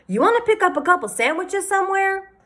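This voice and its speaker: A woman's voice: very high voice, very animated, fairly quick, fairly bright tone, voice pushed up, full character voice. A woman voicing an easygoing, joking farmer father in a storybook read-aloud. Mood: teasing.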